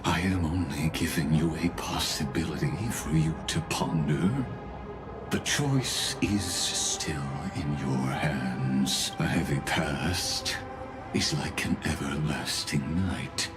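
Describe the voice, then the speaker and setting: A male voice actor playing a cold, courtly genius villain in a video game, speaking formally with measured, ominous courtesy. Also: very deep voice, over music. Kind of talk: scripted video game dialogue.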